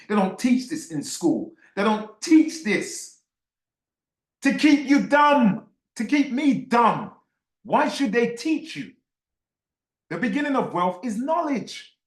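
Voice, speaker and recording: A man leads a live online discussion in a high, very animated voice. He is emphatic and insistent, often repeating phrases to press his point. The sound is echoey and roomy. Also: slow. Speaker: host of an online book club discussion.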